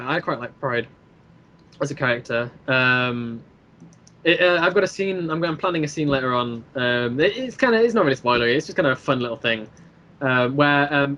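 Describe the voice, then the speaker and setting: A man in a casual podcast chat, explaining his own creative work in a rambling, halting way and repeating phrases as he goes. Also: medium pitch, sharp tone. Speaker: guest, creator of an audio drama series.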